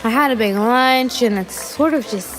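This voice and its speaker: A woman's voice: on the high side, moderate pace, crowd noise, lightly acted, rough recording. A woman playing an earnest character in a western audio drama. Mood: sheepish. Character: earnest young runaway or rancher in post-apocalyptic western. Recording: music and background ambience behind voice.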